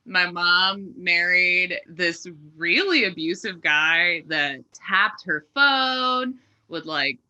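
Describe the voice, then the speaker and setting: A woman's voice: fairly low pitch, lively intonation, takes it slowly, sharp tone, highs cut off. A woman in her twenties from Texas, a stand-up comedian telling her story as a podcast guest in a chatty, darkly joking way. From Texas, USA. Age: 20s. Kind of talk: casual conversational storytelling.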